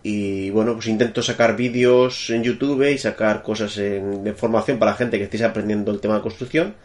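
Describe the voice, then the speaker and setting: A man's voice: fairly low pitch, steady tone, brisk, a little mellow. A man from Spain with a Madrid accent, a technical architect answering interview questions over a remote call, thinking aloud as he goes. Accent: Madrid Spanish. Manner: thinking aloud, many fillers and restarts.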